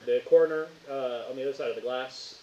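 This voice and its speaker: A man speaking casually as a game master, narrating haltingly and often searching for words. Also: mid-range voice, mellow. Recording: roomy echo, dull lo-fi sound, faint hiss.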